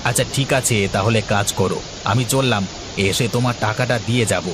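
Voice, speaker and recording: A man voicing a brisk, friendly boss who hires day labourers, talking colloquially in an animated folk tale. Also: mid-range voice, music in the background, close to an everyday voice. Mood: brisk, businesslike. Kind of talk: animated moral fairy tale dubbing.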